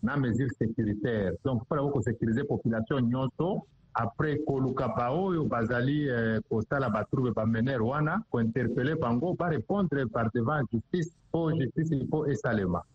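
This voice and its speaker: Husky Congolese man, dull lo-fi sound. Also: medium pitch, moderate pace, no room sound. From Democratic Republic of the Congo. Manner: code-switches between Lingala and French. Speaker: provincial government official interviewed. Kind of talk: radio news interview, explaining. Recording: muffled, dull lo-fi line.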